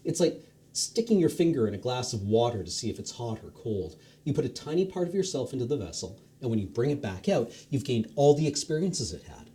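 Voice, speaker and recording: A man reading a short story aloud on a charity stream, expressive with the odd stumble. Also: medium pitch, a little fast.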